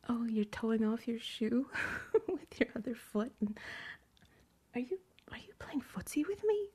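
A woman performing an intimate audio roleplay in hushed, breathless whispers, playful and teasing. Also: fairly high pitch, big pitch swings, a little slow, breathy edge.

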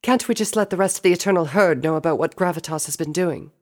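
A man's voice: a little high, fast talker, pitched above the performer's own voice, lightly acted. A man voicing a regal, earnest pony princess in a storybook, formal and intense in manner. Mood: questioning, earnest.

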